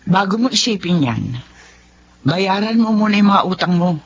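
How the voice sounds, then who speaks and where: A woman's voice: medium pitch, lively intonation, normal speed, breathy edge. An actress playing a middle-aged, long-suffering wife and mother, earnest and firm in a Filipino radio drama style. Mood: firm, reproachful. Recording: dull, lo-fi radio drama audio.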